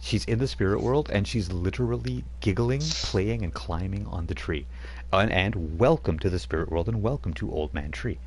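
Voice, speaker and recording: A man's voice: fairly low pitch, normal speed, background noise. A man running a tabletop roleplaying game as game master, telling the scene in a rambling, chuckling way with many fillers.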